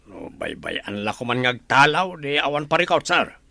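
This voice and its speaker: An actor with an Ilocano accent playing a worried, deferential household underling in a radio drama, anxious and respectful. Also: male voice, mid-range voice, very animated, moderate pace, vocal fry, pushed and bright, no room sound, full character voice.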